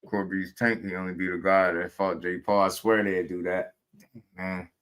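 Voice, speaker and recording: A man hosting a casual boxing talk show, animated and slangy, breaking into laughs as he riffs on fights. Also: low-pitched, normal speed. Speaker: boxing podcast host.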